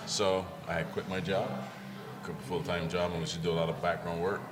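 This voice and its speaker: Deep-voiced man from Barbados, telling stories. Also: little pitch movement, fast talker, music in the background. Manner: storytelling, acts out quoted voices in a high pitch. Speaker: comedian guest. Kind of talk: casual interview chat.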